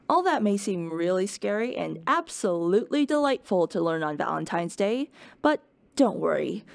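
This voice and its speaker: A woman's voice: mid-range voice, dry recording, lightly acted. A woman playing a wry, playful professor who delivers a scripted lecture in a conversational, plain-explaining way. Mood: wry, reassuring. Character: playful, wry professor giving an unasked-for office-hours lecture. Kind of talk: scripted educational lecture in character.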